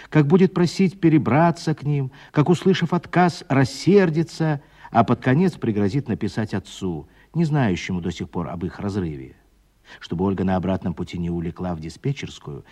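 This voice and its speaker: A man narrating a literary radio play with expressive, scripted storytelling. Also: on the low side.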